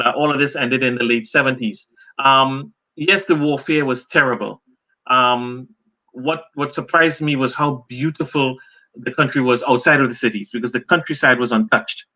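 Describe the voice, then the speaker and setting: A middle-aged Trinidadian man, a journalist and author, answering interview questions as a lively storyteller. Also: medium pitch, moderate pace, low-quality recording. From Trinidad and Tobago.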